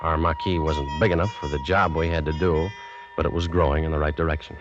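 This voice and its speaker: Deep, gruff American army lieutenant. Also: male voice, fairly even intonation, fast talker, over loud music. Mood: measured. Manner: terse, commanding, dry. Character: gruff, no-nonsense American army lieutenant leading resistance fighters. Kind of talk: 1940s-50s radio drama acting with first-person narration. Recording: dull, lo-fi vintage radio recording.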